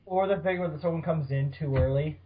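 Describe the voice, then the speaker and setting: A man's voice: a little high, a little fast. A man hosting a casual podcast chat, sarcastic and mock-dramatic, swearing freely. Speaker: podcast host. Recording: dull, lo-fi podcast audio.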